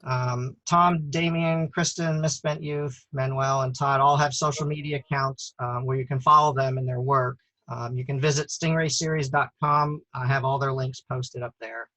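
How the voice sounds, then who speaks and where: A man's voice: mid-range voice, fairly even intonation, normal speed, hardly any pauses, sharp tone, no echo, poor audio. A man hosting an online premiere chat, speaking with many fillers and restarts. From United States. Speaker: author and host.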